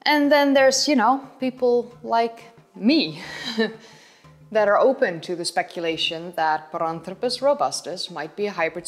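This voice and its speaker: A young woman in her twenties with a Dutch accent, chattily explaining history to camera as a channel host in her living room. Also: mid-range voice. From Netherlands. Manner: chatty, explaining with hand gestures. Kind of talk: educational video talk to camera.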